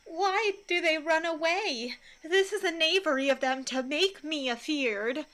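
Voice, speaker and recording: A woman's voice: sits high, a little slow, a bit bright. A woman playing a boastful, stubborn male weaver with theatrical declamation in a Shakespearean audio drama. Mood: defiant.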